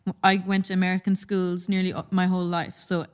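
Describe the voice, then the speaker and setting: A woman's voice: fairly low pitch, completely flat delivery, fast talker, smooth. A young woman with an Irish accent, a singer guest chatting with lots of fillers and laughs. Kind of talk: casual chat.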